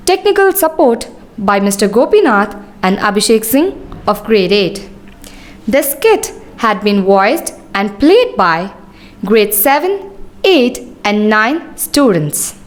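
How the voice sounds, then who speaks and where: Animated Indian woman giving a formal introduction. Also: fairly high pitch. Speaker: presenter introducing a school show. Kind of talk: formal scripted speech. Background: music.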